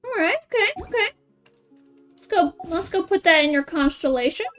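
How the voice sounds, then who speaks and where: A woman's voice: very high voice, animated, moderate pace, fairly bright tone, soft background music. A woman chatting casually as she streams a game, thinking aloud in short, playful remarks. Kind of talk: casual live gameplay chat.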